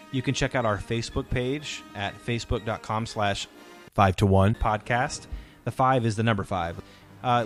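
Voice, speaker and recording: A middle-aged man hosting a casual family podcast chat in an encouraging manner. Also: medium pitch. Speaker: podcast host.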